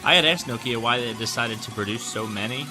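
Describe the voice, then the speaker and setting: A man's voice: medium pitch, fast talker, music in the background. A man hosting a scripted product review, narrating with wry, joking asides. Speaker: tech reviewer and host.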